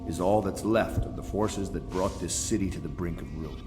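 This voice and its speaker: A man playing a grave, commanding leader of conspirators in a video game, solemn and deliberate. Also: deep voice, lightly acted. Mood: solemn resolve. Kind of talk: video game character dialogue. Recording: reverberant hall, music behind.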